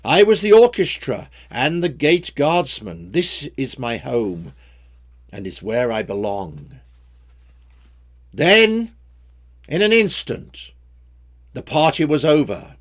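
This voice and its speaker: Male poet for story-poem reading: theatrical, unhurried delivery with big melodic swings in pitch. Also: wildly swooping pitch. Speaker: poet reading own poems. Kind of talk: expressive recitation of rhyming story poems.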